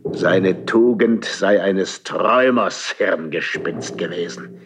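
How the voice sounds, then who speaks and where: An actor playing a proud, embittered ageing king in a classical German verse radio drama, slow and halting with a gravelly, husky voice. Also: fairly low pitch, a little hiss or hum. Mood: vengeful defiance. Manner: slow, halting, brooding, theatrical declamation. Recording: slightly dull archival radio drama recording.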